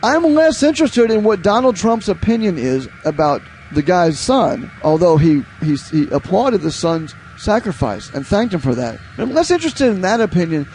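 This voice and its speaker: A man's voice: high-pitched, sing-song, normal speed, few pauses, darkish tone, faint music behind. An American man giving opinionated political commentary as a show host, emphatic and incredulous, repeating phrases for effect. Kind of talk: opinionated political commentary, reading headlines aloud.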